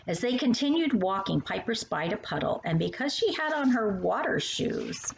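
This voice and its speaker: A woman's voice: medium pitch, sing-song, normal speed, creaky. A woman reading her own storybook aloud for young children, addressing the little listeners directly. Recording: dull lo-fi sound with faint noise.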